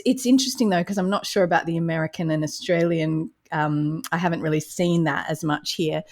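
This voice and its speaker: A woman with a slightly low-set voice hosts an interview podcast. She presents to listeners and guests in the manner of a programme host. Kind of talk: scripted introduction, then conversational interview.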